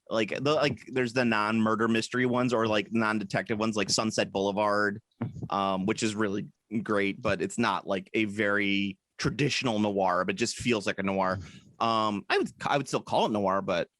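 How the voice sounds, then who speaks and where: A man's voice: lowish voice, a little slow, a bit bright. A man co-hosting a podcast, chatting casually in a rambling, hesitant way with lots of fillers and jokes. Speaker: podcast co-host. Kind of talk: casual chat. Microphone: podcast microphone.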